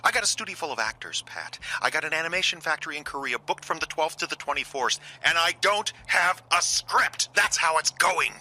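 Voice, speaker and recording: Man acting exasperated producer, piercingly sharp voice. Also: a little high, expressive, brisk, few pauses, heavily acted, poor audio. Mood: impatient. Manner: sarcastic, emphatic, impatient. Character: exasperated, bullying male animation producer, middle-aged. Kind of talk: comic audio drama dialogue.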